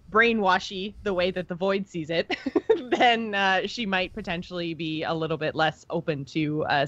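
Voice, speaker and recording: Low-pitched woman chatting, slightly dull recording. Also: fairly bright tone, no room sound. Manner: chatty, informal, enthusiastic. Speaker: podcast co-host. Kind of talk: casual discussion of video game lore.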